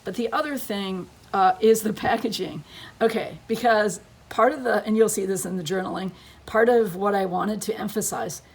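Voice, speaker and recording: A woman's voice: medium pitch, moderate pace, slightly rough, low-quality recording. A woman who is losing her voice gives a chatty scrapbooking tutorial, thinking aloud as she works at her crafting table.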